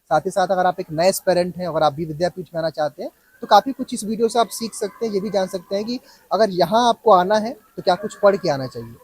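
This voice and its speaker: A male interviewer who talks very fast and fires off short questions. His voice is husky and a little rough. He sounds close and dry, with no room echo. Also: sits high, expressive, darkish tone. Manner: prompting, rapid-fire questions. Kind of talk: casual campus interview.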